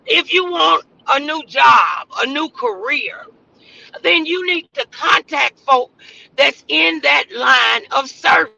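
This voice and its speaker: Very high-pitched, bright-voiced man preaching motivation. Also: animated, takes it slowly, gravelly, rough recording. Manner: exhorting, repeats phrases for emphasis, rhetorical questions. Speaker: motivational show host.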